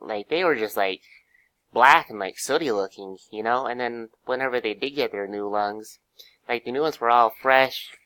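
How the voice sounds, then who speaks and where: A hoarse young man with a cold, giving a casual class presentation, full of fillers and pauses. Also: mid-range voice, bright, forceful tone, no echo, rough recording. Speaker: student presenter.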